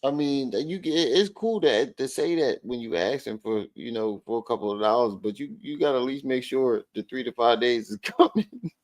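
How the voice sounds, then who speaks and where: A man's voice: medium pitch, animated, normal speed, darkish tone, no echo. A man giving casual, slangy reaction commentary as a show host, sounding amused.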